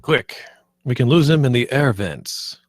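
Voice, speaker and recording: A man's voice: mid-range voice, lively intonation, measured pace. A man playing a melodramatic, self-sacrificing spaceship captain in a comedy table read. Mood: urgent.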